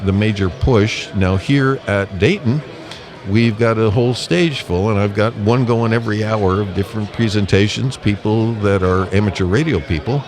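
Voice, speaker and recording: A man with a moderately low voice answers interview questions like a seasoned spokesman. He talks at length with enthusiasm and emphasis, while a crowd is clearly heard behind him. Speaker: public relations manager being interviewed. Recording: live event with loud crowd behind. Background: busy crowd at a convention.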